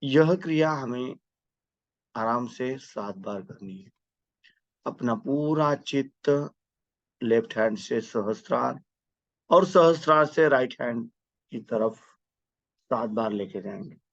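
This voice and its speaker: A man leading a guided meditation with prayer, giving step-by-step instructions in a measured, devotional manner. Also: medium pitch, creaky, no room sound, lo-fi sound.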